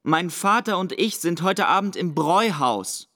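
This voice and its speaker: A young male performer playing an earnest boy in a German radio play, speaking formally and deliberately. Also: sits high, lively intonation.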